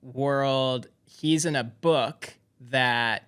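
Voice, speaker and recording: Bright, clear young male interviewer. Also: mid-range voice, very slow. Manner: informal, enthusiastic, rambling. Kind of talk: casual chat.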